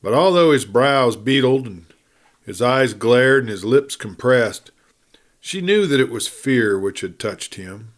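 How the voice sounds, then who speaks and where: A man narrating a western novel as an audiobook, in a measured, deliberate storytelling style with dramatic pauses. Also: mid-range voice, animated.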